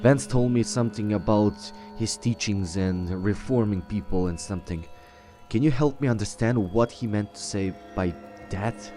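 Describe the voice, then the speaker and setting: A man improvising in character in a video game roleplay, speaking in a halting, hesitant way. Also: fairly low pitch, dark tone. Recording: game music behind the voice.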